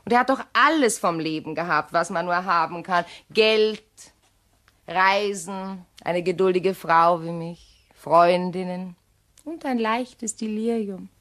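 An actress in a radio play playing an imperious middle-aged widow, genteel, measured and coolly sardonic. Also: female voice, lowish voice, a bit bright. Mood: sardonic wistfulness.

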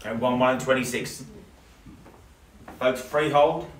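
A middle-aged man calling bids at a live house auction, cracking jokes and repeating figures in a quick patter. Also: medium pitch, steady tone, slight background noise. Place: living room of a house. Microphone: camera across the room. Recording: roomy, distant camera audio.

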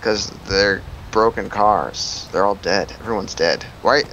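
A young man with a somewhat low voice jokes and swears through a co-op zombie game. His voice comes over chat with faint game sounds underneath. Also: a little slow, a bit bright, muffled recording, slight background noise. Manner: joking, swears freely, breaks into playful singing. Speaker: co-op player and friend of the host. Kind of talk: casual gaming chatter.

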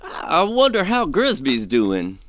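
Guy doing an anxious chatty sidekick, high bright voice, audio drama adventure. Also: wildly swooping pitch, takes it slowly, slight background noise, exaggerated voice. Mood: eager, uneasy. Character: eager, anxious male treasure-hunting sidekick.

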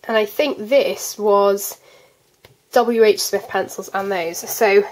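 A woman's voice: medium pitch, steady tone, takes it slowly, a little mellow, low-quality recording. A fourteen-year-old girl with a congested, cold-affected voice, chatting casually as she hosts a hobby video. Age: 14, teenager. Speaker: colouring channel host. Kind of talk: casual chat to camera, showing artwork. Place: indoors at a desk.

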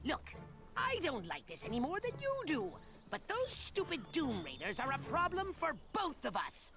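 A man's voice: very high voice, huge pitch swings, normal speed, creaky, over music, full character voice. A man voicing a short, scheming evil overlord in a video game, pompous and melodramatic. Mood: grudging, theatrical.

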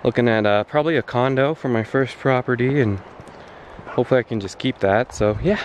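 A man of thirty chatting casually and haltingly to a handheld camera while walking outdoors on a trail, in an easygoing way. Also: mid-range voice. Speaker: vlogger. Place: outdoors on a wooded canyon trail. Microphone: handheld camera at arm's length. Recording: clear outdoor background noise on trail.

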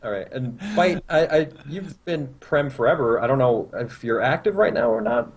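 A man's voice: medium pitch, animated, normal speed, slightly rough. A young man with a North American accent, co-hosting a casual gaming talk show in an informal, rambling way. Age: young adult. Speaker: podcast co-host. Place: indoor room at home, video call.

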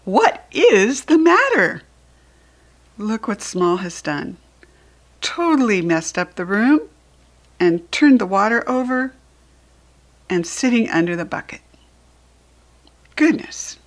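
A woman with an American accent, hosting and reading a storybook to young children with deliberate, expressive enunciation. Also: medium pitch. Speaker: children's storybook reader and host.

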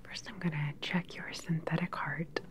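A woman in her thirties playing a calm, sinister scientist in an ASMR sci-fi roleplay, whispering softly, close to the mic, with a clinical, condescending manner. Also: sits low, close to an everyday voice. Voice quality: soft, breathy whisper. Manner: unhurried, clinical, quietly condescending. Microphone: close microphone, seated facing the camera.

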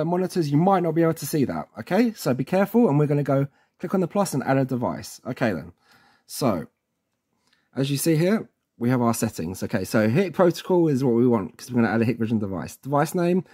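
Male tutorial presenter, relaxed mellow tone, a little higher than average, informal and chatty instructional delivery. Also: husky. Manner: informal, chatty, frequently checks in with "okay". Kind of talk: casual instructional walkthrough.

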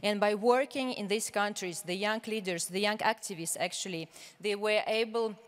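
A young woman opens a conference session. Her voice is smooth and resonant, and she pauses often with fillers as she reads from notes. She speaks into a handheld microphone, and a long reverb hangs behind her in a large hall. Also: medium pitch. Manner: formal, hesitant with frequent fillers, reading from notes. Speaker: moderator. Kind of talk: conference opening remarks.

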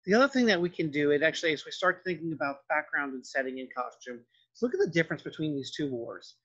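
A man gives an informal, improvised lecture in a fairly high voice. It has a husky edge, and the recording is muffled and lo-fi. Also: animated, fast, a bit bright. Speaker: art history lecturer. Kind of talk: informal lecture narration over slides and clips.

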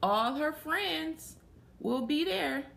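A woman's voice: high-pitched, animated, very slow, vocal fry, a bit bright, slight background noise. A young adult woman reading a picture book aloud to children, stopping to put questions to her young listeners. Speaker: children's story reader. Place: small plain room indoors.

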